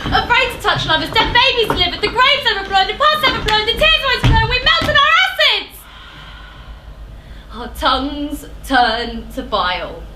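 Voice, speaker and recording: Woman acting a shrill, anguished tragic chorus voice. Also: exaggerated voice. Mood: fearful, fervent. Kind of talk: rhythmic theatrical chorus recitation. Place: theatre stage. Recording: echoey theatre with clear noise and clicks.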